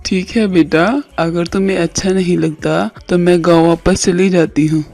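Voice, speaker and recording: A woman playing a poor, loving village mother in a moral story, gentle and humble in manner. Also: fairly low pitch, steady tone, normal speed, faint music behind. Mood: hurt, resigned.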